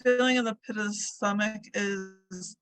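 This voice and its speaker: Soft-voiced woman speaking slowly. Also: mid-range voice, fairly even intonation, soft background music. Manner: soft-voiced, slow and brief answers. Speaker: therapy client.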